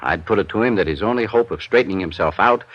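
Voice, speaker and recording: An actor playing a dignified, anxious senior businessman in 1950s radio drama, speaking in a measured, persuasive way. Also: low voice. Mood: earnest, persuasive. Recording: muffled old radio broadcast recording.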